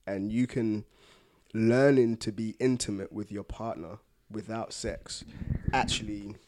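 A man's voice: fairly low pitch, gravelly, darkish tone. A young man talking casually as a co-host in a podcast conversation. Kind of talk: casual chat.

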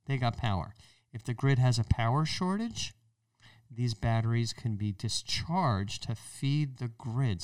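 A man's voice: medium pitch, darkish tone, dry recording, far from the mic. An American man hosting a show, giving explanatory commentary in a colloquial, emphatic way, repeating phrases for stress. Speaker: podcast host and solar business owner.

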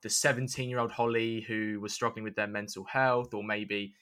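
A man's voice: lowish voice, little pitch movement, moderate pace, fairly bright tone. A man hosting a podcast, putting questions to a guest in a conversational interviewing style.

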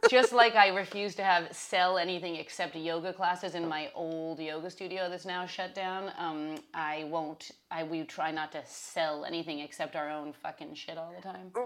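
A woman in her late forties talking casually as a podcast host. Her voice sits a little low, and she rambles, restarts and laughs at her own remarks. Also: steady tone, moderate pace, few pauses, fairly bright tone. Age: 40s. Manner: chatty, self-deprecating, laughs often, trails off and restarts. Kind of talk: casual chat.

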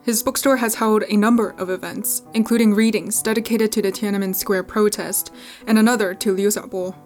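A woman's voice: medium pitch, fairly even intonation, a little fast, darkish tone. Young American woman narrating over music. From California, United States. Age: young adult. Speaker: podcast host and narrator. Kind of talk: scripted narration.

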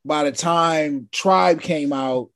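A man from California hosting a casual interview, relaxed and easygoing. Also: a little high, slow pace, no echo, clean studio sound. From California, United States. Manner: relaxed, easygoing, short agreements. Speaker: podcast host.

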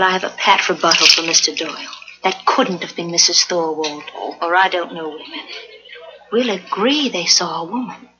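An actress playing a sharp, confident society woman, speaking brisk, emphatic classic Hollywood dialogue. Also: fairly low pitch, close to an everyday voice. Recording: dull old film soundtrack, loud noise.

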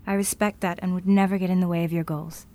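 A woman playing a self-assured, brisk professional futurist in an audio drama, speaking matter-of-factly. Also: lowish voice, little pitch movement, dark tone, natural voice. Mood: sincere, careful.

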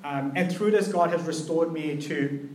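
Young male preacher, earnest, hesitant, personal; slightly raised pitch, roomy hall, faint background noise. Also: unhurried. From New Zealand and Malaysia. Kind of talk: conversational sermon.

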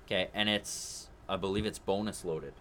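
A man co-hosting a casual hockey podcast, talking excitedly and joking with friends. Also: deep voice, normal speed, a little hiss or hum. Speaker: podcast co-host.

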